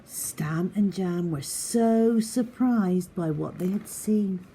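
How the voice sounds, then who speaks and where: A woman reading a children's story as narrator, dramatic and expressive, often dropping into a hushed tone. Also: on the low side, takes it slowly, slight background noise.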